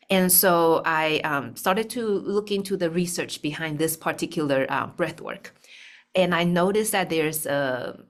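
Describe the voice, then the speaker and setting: A woman from Taiwan, a speech-language pathologist, talking through her story in a video call interview with many pauses and ums. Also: low-pitched. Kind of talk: casual interview talk. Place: bright room indoors.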